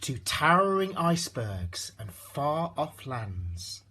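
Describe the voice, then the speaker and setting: قارئ قصص أطفال بنبرة شديدة التلوين وصوت مبحوح. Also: male voice, mid-range voice, very animated, slow, bright, forceful tone, breathy edge. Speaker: storybook reader. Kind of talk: expressive rhyming children's story narration.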